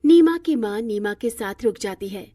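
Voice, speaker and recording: A woman's voice: a little high, lively intonation, normal speed, mellow, dry recording, clean studio sound. A woman reading scripted narration for a bedtime story.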